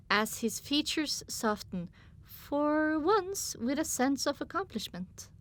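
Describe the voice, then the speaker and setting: A woman's voice: a little high, a bit bright. A woman reading scripted first-person story narration as an audiobook narrator.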